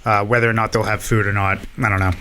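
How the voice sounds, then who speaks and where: A man's voice: on the low side, little pitch movement, fast, bright, forceful tone, slight background noise. A man with a Massachusetts accent co-hosting a podcast, running through local event listings in an easygoing, folksy way. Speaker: podcast co-host. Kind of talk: casual chat reading out local event listings.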